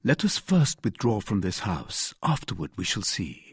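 A brave young guardsman in an audiobook, acted by a man, courtly and devoted. He speaks formally and earnestly in a husky voice with animated pitch, recorded very dry. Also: moderate pace, no echo, exaggerated voice. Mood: cautious, resolute. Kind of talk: audiobook character voice.

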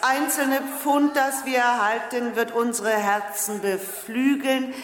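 A woman playing a pompous, condescending lady dignitary who gives a halting, grandiose ceremonial speech in a radio play. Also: on the high side, steady tone. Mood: exalted. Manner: pompous, halting, grandiose, faltering and repeating herself. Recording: echoing public-address hall sound with crowd.

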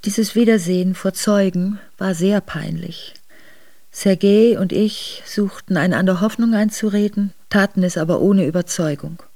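A woman's voice: mid-range voice, measured pace, dark tone, no echo. An actress in a radio drama playing a steadfast aristocratic wife who recounts her memoirs in composed, formal narration. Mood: composed, sorrowful. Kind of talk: literary first-person narration in a radio drama. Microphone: close dry studio microphone.

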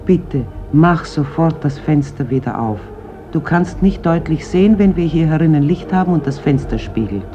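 A woman's voice: low voice, animated, moderate pace. Radio drama: strict, weary fishwife in her forties, probing and reproachful, dull hissy old recording. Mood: stern. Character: stern, weary forty-year-old fisherman's mother, wary of war. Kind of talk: stage-like radio drama acting. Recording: muffled old radio recording, clear hiss.

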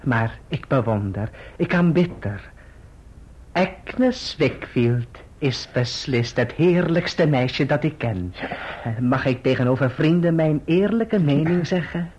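Falsely humble, scheming radio-drama clerk: highish, mock-deferential, muffled old recording. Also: male voice, lively intonation, takes it slowly, slightly rough, heavily acted. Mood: gloating, oily. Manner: oily, mock-deferential, insistent. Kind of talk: radio play acting.

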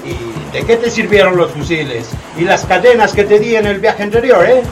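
A man's voice: sits high, moderate pace, natural voice. A man acting in a community radio drama as a cruel, commanding slave ship captain. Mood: cold, commanding. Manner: commanding, cold. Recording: somewhat distant mic, music underneath.

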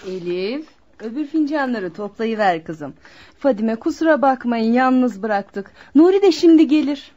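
A woman's voice: a little high, animated, unhurried, dark tone. An actress playing a homely middle-aged mother in a Turkish radio play, hospitable and bustling around her guests. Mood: welcoming, bustling. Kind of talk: Turkish radio theater acting. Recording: muffled lo-fi radio drama recording.

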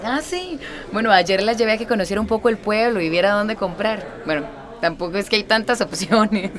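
A woman's voice: medium pitch, brisk, crowd noise, lightly acted. An actress playing a friendly, curious, confiding woman in a radio drama, conversational and coaxing.

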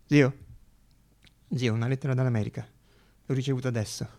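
A man playing an excitable, flustered eye doctor who stammers and repeats himself in a radio stage monologue. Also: medium pitch, brisk, natural voice. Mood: breathless triumph.

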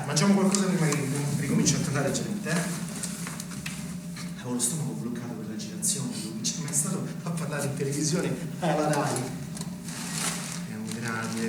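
Actor as a chatty coaxing dad, big echo. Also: male voice, mid-range voice, moderate pace, close to an everyday voice. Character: chatty, eager father fussing over sandwiches and voting. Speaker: stage actor. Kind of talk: naturalistic stage acting. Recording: very echoey, noisy, distant stage recording.

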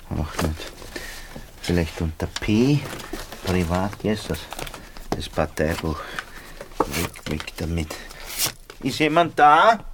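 An actor playing a nervous, self-justifying barber in a radio drama, rambling colloquially with a Viennese accent. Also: male voice, low-pitched, noisy background. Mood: muttering, flustered. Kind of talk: naturalistic radio drama dialogue.

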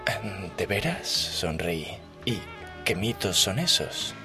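Man playing a low-voiced smitten traveller, hushed storyteller. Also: sing-song, slow, faint music behind, heavily acted. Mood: nervous composure. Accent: Spain. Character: smitten male air traveller telling his comic story. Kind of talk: comic sketch narration.

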